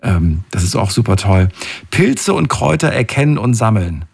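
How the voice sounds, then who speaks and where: A man's voice: on the low side, sing-song, normal speed, creaky, darkish tone, husky. A man hosting a radio call-in, chatting casually with self-deprecating asides. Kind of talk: casual, conversational radio presenting.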